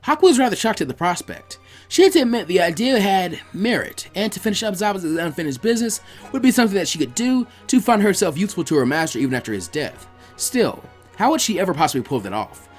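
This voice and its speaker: A man reading fan fiction aloud as an expressive story narrator. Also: sits high, fast, soft background music.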